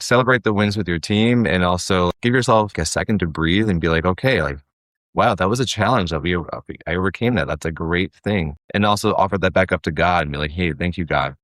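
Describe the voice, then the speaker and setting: Male podcast guest, low pitch, earnest casual rambler, very dry recording. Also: fast talker, fairly bright tone, dry recording. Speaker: podcast guest, creative producer. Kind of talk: casual conversational interview.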